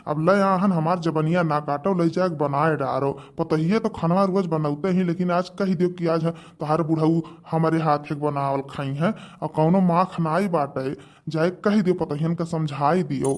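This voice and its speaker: A man's voice: on the high side, fairly even intonation, moderate pace, close to an everyday voice. A man voicing a bossy old village husband in a homemade cartoon, with an Awadhi accent and an insistent, ordering manner. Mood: demanding. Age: older. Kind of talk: homemade cartoon dialogue in rural dialect.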